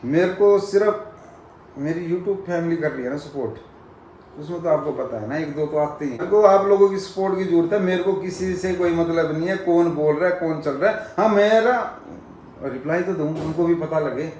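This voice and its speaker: A man's voice: on the high side, normal speed, slight background noise. Emphatic man chatting in an echoey room. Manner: animated, emphatic, talks over others. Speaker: vlogger. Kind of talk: casual chat.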